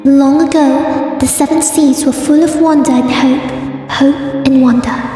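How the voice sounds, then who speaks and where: A woman's voice: high-pitched, steady tone, a little slow, dark tone. A woman voicing a curious, flippant younger-sister sea pony with a casual, teasing, slangy manner. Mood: mocking. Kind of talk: cartoon voice acting in a comic dub. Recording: echoey, distant from mic, music underneath.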